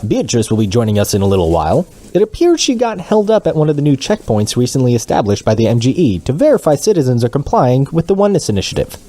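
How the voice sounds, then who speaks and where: A man's voice: mid-range voice, huge pitch swings, fast, hardly any pauses, warm tone. An actor playing a smug, sarcastic male local news anchor in a comedy audio drama, with a pompous newscast delivery. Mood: smug, wry.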